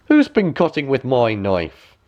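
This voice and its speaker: A man acts out a little storybook dwarf, curious and friendly. The voice is lifted and swinging in pitch, with emphatic, wide-eyed delivery. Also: wildly swooping pitch, unhurried, dull audio. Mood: astonished. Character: small male dwarfs of a cottage, curious, friendly.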